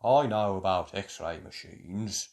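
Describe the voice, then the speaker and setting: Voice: low-pitched, takes it slowly, a little mellow, pitched below the performer's own voice. A storyteller voicing a bossy little girl animal from a children's book, firm and instructive.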